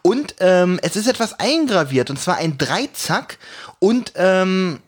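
A man's voice: sits high, sing-song, slow, breathy edge. A man co-hosting a podcast in casual chat, often stumbling and searching for words. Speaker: podcast co-host. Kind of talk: casual chat, audio drama review.

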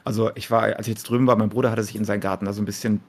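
A man's voice: fairly low pitch, level tone, racing, breathy edge. A man co-hosting a podcast in casual chat, dropping English words into his sentences. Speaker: podcast co-host.

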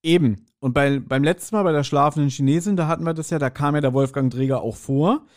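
A man's voice: fairly high pitch, quick pace, darkish tone. A man chatting casually as a podcast co-host reviewing an audio drama, colloquial in his wording.

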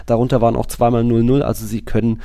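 Guy talking football on a podcast, mellow dark voice, really dry sound. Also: mid-range voice, little pitch movement, fast, vocal fry, dry recording. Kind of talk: casual football analysis and preview.

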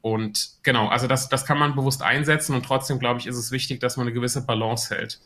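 A man's voice: medium pitch, fairly even intonation, a little fast, sharp tone. A man with a Hessian accent, a podcast guest talking about marketing in a thoughtful way with many filler sounds. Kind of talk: casual podcast interview.

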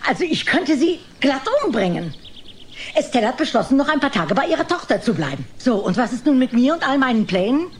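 A woman's voice: high-pitched, big pitch swings, a little fast, a little hiss or hum. An actress playing a nagging, put-out older mother-in-law in a sitcom audio drama, theatrical and indignant.

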